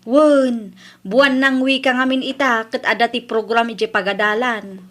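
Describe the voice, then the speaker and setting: Bright, high-voiced Ilocano village wife played by a woman. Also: fairly even intonation, moderate pace, close to an everyday voice. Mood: worried, insistent. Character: worried, thrifty village wife and mother. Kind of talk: comedy drama acting.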